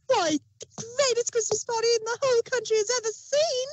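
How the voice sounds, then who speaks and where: Man putting on a squeaky, bubbly female cartoon pony. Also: steady tone, fairly quick. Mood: dramatic excitement. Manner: gushing, breathless chatter.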